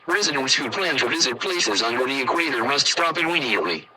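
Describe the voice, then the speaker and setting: A man's voice: medium pitch, lively intonation, a little fast, lightly acted. Man as emergency alert guy, formal scripted warning, sounds far off w crowd noise behind him. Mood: formal, solemn. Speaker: emergency broadcast announcer.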